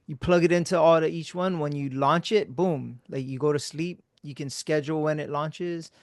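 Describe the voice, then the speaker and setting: A man hosting a podcast, chatting casually with a friend in a relaxed, laid-back way, swearing freely and trailing off into quiet mumbles. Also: on the high side, moderate pace, dry recording, studio quality. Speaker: podcast host.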